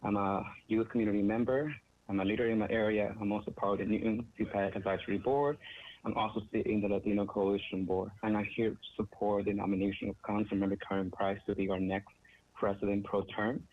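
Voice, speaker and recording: A man from El Salvador, calling in to give an earnest, somewhat halting public comment. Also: fairly low pitch, level tone. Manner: earnest, repetitive, slightly halting. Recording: tinny phone line with faint noise.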